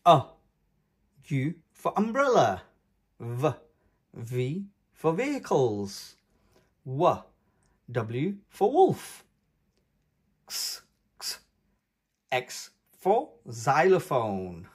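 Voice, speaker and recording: A man reads aloud for very young children with a lively, widely swinging pitch. His delivery is emphatic and sing-song, mostly unhurried, with a husky edge to the voice. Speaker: children's read-aloud channel host. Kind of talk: read-aloud for toddlers.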